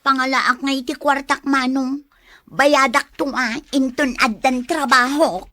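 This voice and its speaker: A woman's voice: high voice, fairly even intonation, unhurried, pushed and bright, dry recording, studio quality. An actress playing an evasive young student girlfriend in an Ilocano radio drama. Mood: evasive, defensive. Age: young adult.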